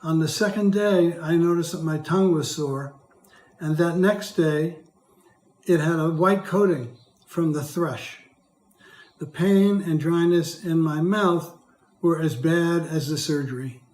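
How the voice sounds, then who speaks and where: An older man with a hoarse, dry voice, reading prepared testimony as a patient in a measured, careful way. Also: on the high side, darkish tone, roomy sound, poor audio. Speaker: patient speaker. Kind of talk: prepared testimony read aloud.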